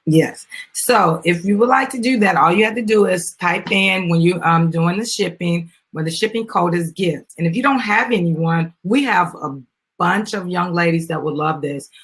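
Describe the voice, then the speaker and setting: A woman's voice: lowish voice, moderate pace, a bit bright, slightly rough. An American woman chatting informally to viewers as she hosts a casual live video and promotes a children's book. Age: adult, mother of a young child. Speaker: live stream host, business founder.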